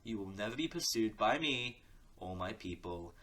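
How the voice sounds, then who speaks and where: A man's voice: fairly low pitch, lively intonation, normal speed, fairly bright tone. A man playing a smug, sadistic crime boss in an audio drama, taunting theatrically and slipping into menacing whispers. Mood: smug menace. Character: smug, sadistic male crime-boss villain who threatens softly. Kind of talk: audio roleplay drama acting.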